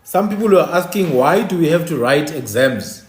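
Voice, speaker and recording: A man's voice: a little high, expressive, brisk, reverberant. A South African man, English not his first language, speaking in a passionate, exasperated monologue as host. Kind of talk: casual monologue.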